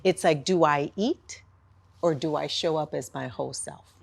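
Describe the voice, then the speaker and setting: A woman on a discussion panel, speaking in measured, deliberate phrases with slow emphasis. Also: low-pitched, gravelly. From first-generation business owner.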